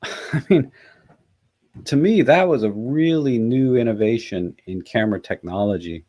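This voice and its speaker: A man hosting a casual live chat, musing and halting, with a hoarse, scratchy voice. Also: mid-range voice, mellow. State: hoarse after a night out. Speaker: livestream host. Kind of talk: casual live chat, reading out and answering comments.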